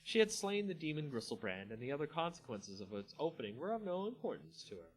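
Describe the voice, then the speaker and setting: Animated man narrating a dramatic story. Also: a little high, quick pace, soft background music, poor audio. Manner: theatrical, expressive. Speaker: channel host and story narrator.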